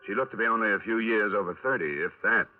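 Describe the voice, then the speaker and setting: An American man playing a brisk, wry insurance investigator in a 1950s radio detective drama, with a clipped, matter-of-fact delivery. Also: lowish voice, soft background music, natural voice. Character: brisk wry male insurance investigator narrating his case. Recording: tinny vintage 1950s radio broadcast.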